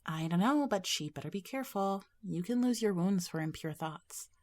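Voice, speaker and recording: A woman's voice: medium pitch, animated, normal speed, darkish tone, natural voice. A woman playing a sceptical, cautious teenage schoolgirl in audio drama dialogue, with a dry, dismissive manner. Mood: sceptical, wary.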